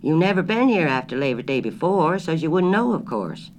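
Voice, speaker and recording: A reader voicing a suspicious, blunt older country grocer who speaks loudly and firmly. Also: medium pitch, sing-song, brisk, slight background noise, voice pushed up, natural voice. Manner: loud, blunt, firm.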